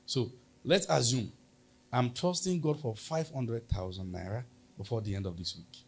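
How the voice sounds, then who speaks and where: A man's voice: mid-range voice, unhurried, creaky, breathy edge. A man with a Nigerian accent preaching a sermon as pastor, emphatic and leading the congregation in call-and-response.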